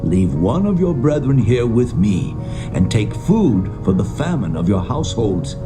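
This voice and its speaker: An actor playing a humble, fearful Hebrew brother in a dramatised scripture reading, earnest and deferential. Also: male voice, mid-range voice, sing-song, unhurried. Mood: anxious. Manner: earnest, deferential archaic speech. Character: humble, fearful Hebrew shepherd brother pleading before a lord. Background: music.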